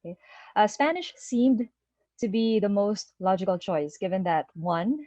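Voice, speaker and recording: A Filipino woman in her thirties, a native Tagalog speaker, talks through her slides on a video call. Her voice sits at a middle pitch for a woman. She keeps a friendly, conversational tone, with frequent "uh" fillers and "okay?" check-ins. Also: lively intonation, measured pace, warm tone, dry recording, dull audio. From Philippines. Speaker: guest speaker. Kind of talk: online presentation.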